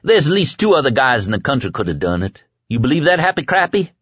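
A man's voice: mid-range voice, very animated, fast, a bit bright, husky, no echo, muffled recording, pitched above the performer's own voice. A man voicing a manic, swaggering young bully in an audiobook, cursing, boastful and explosively bad-tempered. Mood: smug, menacing. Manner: screaming, cursing, boastful and patronizing.

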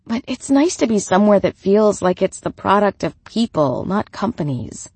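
A woman's voice: medium pitch, sing-song, moderate pace, darkish tone, breathy edge, no room sound. A woman voicing a friendly, eager young American woman in an audiobook, casual and conversational. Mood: chatty, friendly.

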